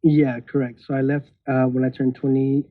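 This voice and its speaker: A man's voice: mid-range voice, flat delivery, normal speed, dry recording. A man in his thirties, a podcast guest speaking casually with hesitant restarts and nervous laughs. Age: 30s. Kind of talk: casual interview. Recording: tinny phone-like call audio.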